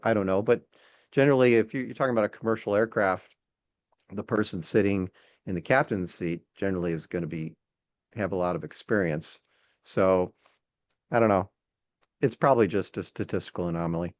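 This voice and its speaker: A man speaking casually as an interview guest and instructor, in a hesitant, halting way with repeated words. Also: fairly low pitch. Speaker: podcast guest, air traffic control instructor. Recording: dull, lo-fi sound.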